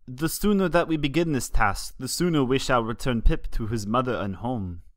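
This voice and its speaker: A man's voice: mid-range voice, moderate pace, no echo, studio quality, lightly acted. A man voicing a regal, gracious female alicorn pony princess in a fan fiction reading, with formal, stately, old-fashioned phrasing. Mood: composed. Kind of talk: fan fiction audiobook character voice.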